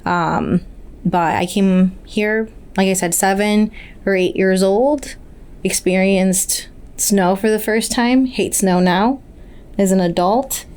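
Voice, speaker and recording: A woman's voice: medium pitch, slow, darkish tone, clean tone. A woman from Puerto Rico whose first language is Spanish, earnestly telling her life story as a podcast guest. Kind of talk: casual interview.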